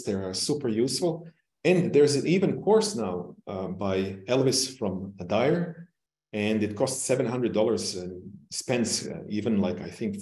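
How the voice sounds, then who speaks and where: A middle-aged man who is not a native English speaker, explaining technical things informally and haltingly on a video call. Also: on the low side, dark tone. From non-English-speaking country, long resident in Canada. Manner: hesitant, halting, searching for words. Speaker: webinar presenter, technical expert. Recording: roomy, echoing webcam call.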